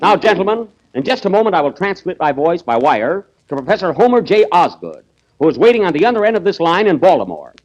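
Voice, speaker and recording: A man's voice: high voice, very animated, quick pace, muffled recording. A man playing a fussy, pompous inventor in a 1930s radio comedy, theatrical and easily exasperated. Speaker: comedian host.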